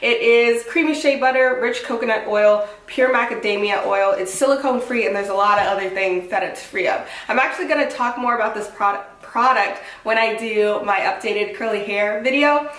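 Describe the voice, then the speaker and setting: A woman's voice: a little high, normal speed, hardly any pauses, a bit bright, recorded from a distance. Congested upbeat woman vlogging, roomy echo. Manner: upbeat and apologetic, filler-heavy with lots of "like" and "um". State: congested from a cold. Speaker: beauty vlogger reviewing a wig. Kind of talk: casual chatty product review.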